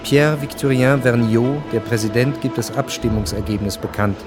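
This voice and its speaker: An actor narrating a radio drama, announcing scenes in a factual, formal way. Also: male voice, mid-range voice, fairly even intonation, moderate pace, over music, lightly acted. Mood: neutral. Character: matter-of-fact male narrator of historical events.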